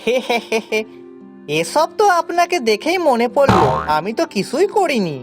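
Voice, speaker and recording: A woman voicing a high-pitched, eager young servant lad. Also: sing-song, moderate pace. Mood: earnest, eager. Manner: deferential, earnest, rustic colloquial speech. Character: eager, humble young male servant Pocha turned paratha seller. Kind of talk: cartoon story dialogue. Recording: music behind the voice.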